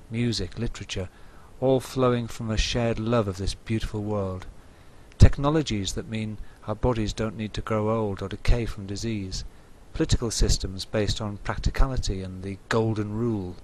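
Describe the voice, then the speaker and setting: Wry, reflective man with mid-pitched voice. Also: slight background noise, poor audio. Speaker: video blogger. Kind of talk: reflective scripted monologue to viewers.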